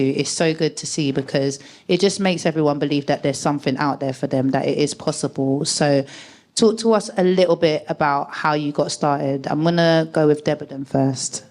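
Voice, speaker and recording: A woman's voice: low-pitched, darkish tone. A woman hosting a live panel show, upbeat and enthusiastic as she introduces the panelists. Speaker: host. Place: live event with audience.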